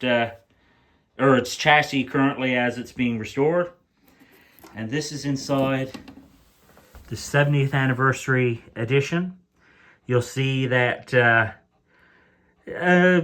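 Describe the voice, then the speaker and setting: A man's voice: medium pitch, fairly bright tone. A man casually showing and comparing old-style radios at home, speaking hesitantly with many fillers and restarts, from behind the camera. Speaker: radio collector. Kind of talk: casual show-and-tell commentary. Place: indoors, home room with radios on floor.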